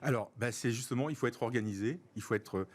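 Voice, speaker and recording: A middle-aged man with a French accent from France, giving structured, measured explanations as an interview guest and repeating words for emphasis. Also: medium pitch. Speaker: interview guest, senior professional.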